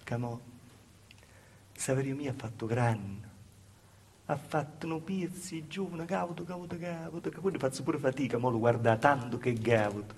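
A man's voice: medium pitch, animated, a little slow, a little hiss or hum. A man playing a Calabrian village mother, telling a folksy, repetitive, plaintive theatre monologue in dialect. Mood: wistful.

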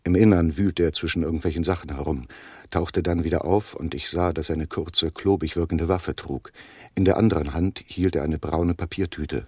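An actor playing a man recounting a deadly shootout in measured, literary narration for a German radio play. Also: low-pitched, steady tone, darkish tone, husky, no room sound, studio quality. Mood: grave, measured.

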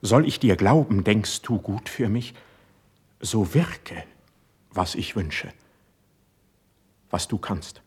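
Impassioned young court poet, wounded and distrustful. Husky, lowish, declamatory radio-play verse. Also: male voice, lively intonation, slow pace, long pauses, exaggerated voice. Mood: urgent, impatient. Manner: declamatory, intense stage delivery. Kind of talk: classical German verse radio drama acting.

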